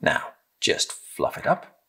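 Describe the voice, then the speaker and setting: A middle-aged British man gently and encouragingly demonstrating a craft step by step in simple instructions. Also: takes it slowly. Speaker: craft presenter. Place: indoor room with timber-framed walls, at a table.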